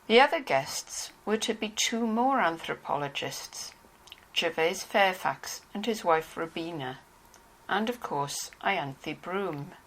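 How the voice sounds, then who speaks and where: A woman's voice: fairly low pitch, animated, slow, fairly bright tone, low-quality recording. A woman narrator reading scripted narration from a dramatized novel.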